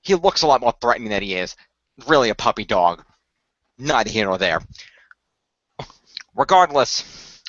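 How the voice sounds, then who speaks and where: A man's voice: mid-range voice, fairly bright tone, no echo, dull audio. A man playing a smug, sarcastic god of the underworld in a radio play, chatting like a mock-reassuring showman. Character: fast-talking smug male god of the underworld. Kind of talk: hobby radio play acting.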